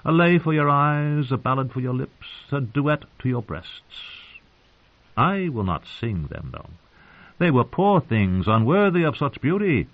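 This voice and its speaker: Through a thin, phone-like band comes a drunken young minstrel, acted by a man, pitched high and swooping. He is oily and lecherous, wooing in florid storybook fashion. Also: big pitch swings, a little slow. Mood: oily, amorous. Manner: florid, overblown courting. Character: lecherous, flowery young male singer, drunken and wheedling.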